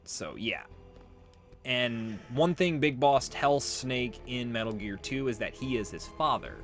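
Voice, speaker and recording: A man with a mid-pitched voice chats through a video game as a let's player. He rambles casually as he explains the lore, with faint music behind him. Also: lively intonation, measured pace, fairly bright tone. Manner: rambling, conversational. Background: game music and audio.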